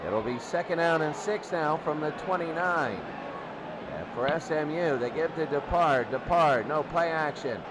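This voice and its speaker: A man's voice: on the high side, lively intonation, a little slow. A man doing live play-by-play American football commentary in short clipped phrases, repeating his calls as he follows the play. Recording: noisy recording with loud crowd din. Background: loud crowd noise.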